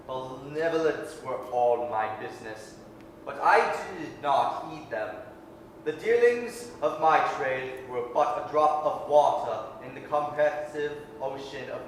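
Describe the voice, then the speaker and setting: A man's voice: medium pitch, lightly acted. An actor playing the solemn, tormented ghost of a dead businessman in a theatrical, ponderous 1930s radio drama style. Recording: distant, echoey hall livestream with hiss.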